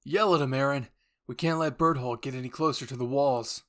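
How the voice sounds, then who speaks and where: A man voicing a brash, hot-headed young male squad leader who barks orders forcefully in an anime roleplay. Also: medium pitch, brisk, natural voice. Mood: angry, yelling. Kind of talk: anime fan roleplay voice acting.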